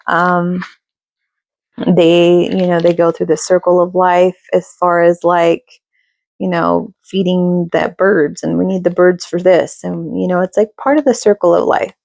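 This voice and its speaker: Casual woman tarot reader, slightly raspy and gravelly, chatty with lots of fillers, dry close recording. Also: on the low side, steady tone, measured pace, a little mellow, dry recording. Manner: chatty, filler-heavy, reassuring. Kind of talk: casual tarot reading to camera.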